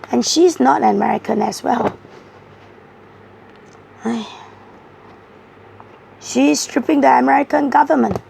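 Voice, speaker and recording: A woman with a hoarse voice, giving a rambling, halting monologue as a tarot reader. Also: high-pitched, dark tone, slight background noise. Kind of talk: casual tarot video monologue.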